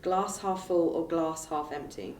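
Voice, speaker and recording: A woman interviewer asking brisk either-or questions in a quick-fire round. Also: on the low side, a little mellow. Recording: distant from the mic, faint noise.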